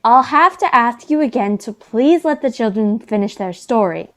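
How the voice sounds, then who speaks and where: A woman reading the part of a brisk reality TV host in readers theater. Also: fairly high pitch, animated, close to an everyday voice. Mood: presiding.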